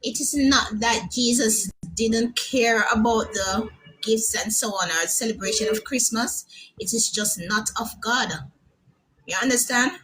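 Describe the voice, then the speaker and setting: A woman's voice: on the high side, measured pace, a bit bright, slight background noise, poor audio. A woman calling in to a radio show who states her view firmly and checks that she is understood. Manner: firm, emphatic, asks for agreement. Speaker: caller. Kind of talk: call-in radio comment.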